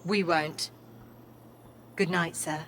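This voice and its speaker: An actress playing a polite, attentive AI assistant in a video game, composed and deferential. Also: female voice, lowish voice, steady tone, slow, fairly bright tone, a little hiss or hum. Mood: caring, polite.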